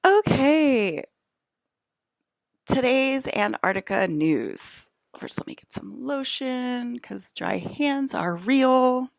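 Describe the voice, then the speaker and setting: Gravelly, slow-talking woman from New Jersey. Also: sits high, big pitch swings, dry recording, clean studio sound. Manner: chatty, self-interrupting, with whispered asides. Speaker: vlogger. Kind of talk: rambling casual monologue.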